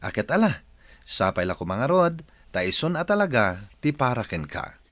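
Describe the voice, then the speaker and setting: A man's voice: medium pitch, very animated, moderate pace, fairly bright tone, dry recording. A Filipino man hosting a radio drama program with scripted delivery. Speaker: radio drama host and advice giver.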